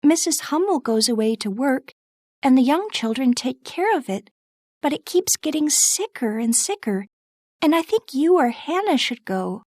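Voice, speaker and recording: A woman voicing a gentle, shy young girl who speaks softly and anxiously, in a storybook reading. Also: very animated, moderate pace, dry recording, studio quality. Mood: anxious, sad. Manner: soft, anxious, higher-pitched.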